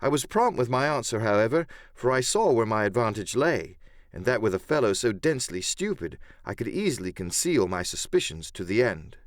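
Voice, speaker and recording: A man's voice: fairly low pitch, big pitch swings, brisk, dry recording, studio quality. A man reading a sea adventure story as a dramatic first-person audiobook narrator.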